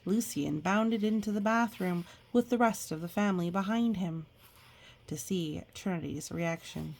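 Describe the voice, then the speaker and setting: A woman reading a picture book aloud with chatty asides, reacting expressively and explaining things gently as if to young children. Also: lowish voice, measured pace, vocal fry.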